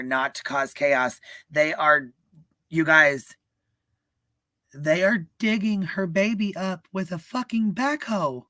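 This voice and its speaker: Host, forceful bright voice, dry close sound, sweary emphatic live commentary. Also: medium pitch, dry recording. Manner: emphatic, profane. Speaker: livestream host. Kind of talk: casual live commentary chat.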